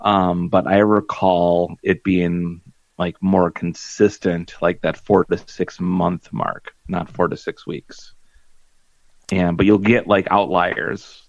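Gravelly deep-voiced man, dry and dull. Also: flat delivery, dry recording. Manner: rambling, halting, wry. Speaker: podcast co-host. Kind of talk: casual chat.

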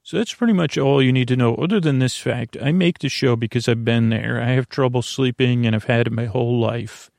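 Creaky-voiced man rambling soothingly. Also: medium pitch, brisk, few pauses, darkish tone, no room sound, clean studio sound. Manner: meandering, hesitant, self-interrupting asides. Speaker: podcast host. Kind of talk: rambling, soothing bedtime monologue.

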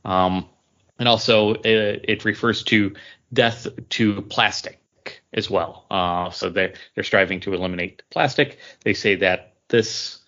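Low-pitched man, halting, muffled audio. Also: steady tone, a bit bright. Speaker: podcast co-host. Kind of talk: casual chat.

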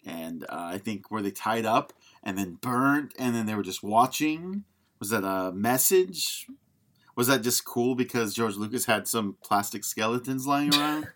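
A man's voice: mid-range voice, animated, moderate pace, a bit bright. A man hosting a podcast, chatting casually about film in a jokey, self-deprecating way. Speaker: podcast host.

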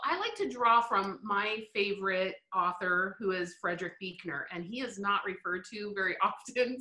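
A middle-aged woman being interviewed, speaking in an animated, reflective way and laughing often. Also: fairly high pitch, reverberant, rough recording. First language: English. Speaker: guest. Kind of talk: interview conversation.

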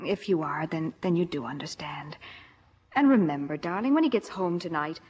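An actress playing a patient, soothing wife and mother, gently firm and earnest, in mid-century radio comedy style. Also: low-pitched, lively intonation, normal speed, slightly rough, big-room sound. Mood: gentle, earnest. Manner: placating, earnest. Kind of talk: 1940s-50s radio comedy acting.

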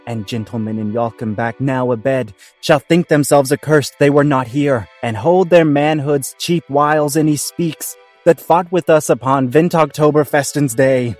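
Man as stage hero, grandiloquent, mock-solemn, mid-pitched, comic radio play. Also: measured pace, hardly any pauses, darkish tone, soft background music, lightly acted. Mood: rousing, mock-heroic. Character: grandiloquent man giving mock-Shakespearean heroic speeches. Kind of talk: comic amateur audio drama.

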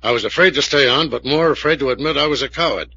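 Police captain, authoritative, official, husky forceful voice, old radio drama. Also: male voice, mid-range voice, fast talker. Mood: reading aloud gravely. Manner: official, firm. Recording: muffled vintage radio recording.

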